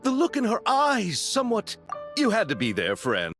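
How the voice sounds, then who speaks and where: A man's voice: sits high, huge pitch swings, normal speed, a bit bright, full character voice. A man voicing a flamboyant, dreamy film producer in a game, speaking in theatrical, florid phrases. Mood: effusive. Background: music.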